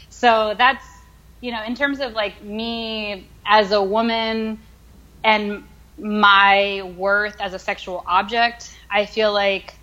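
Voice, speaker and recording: A woman's voice: medium pitch, flat delivery, takes it slowly, sharp tone, highs cut off. A young woman in her twenties from the United States, talking casually as an interview guest, with many fillers as she thinks aloud. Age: 20s. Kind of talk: casual conversation.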